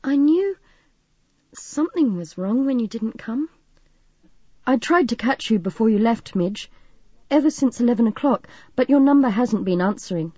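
Anxious, courteous young man played by a female narrator, earnest and mellow, storybook reading. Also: fairly high pitch, lively intonation, normal speed, husky, dry recording, lo-fi sound, pitched above the performer's own voice. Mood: worried. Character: worried, polite young man with a sick father.

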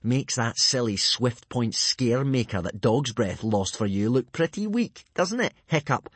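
A man's voice: medium pitch, lively intonation, unhurried, husky, no room sound. A man voicing a boastful, sneering teenage Viking bully in a children's audiobook, drawling and self-satisfied. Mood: jeering. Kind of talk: children's audiobook character acting.

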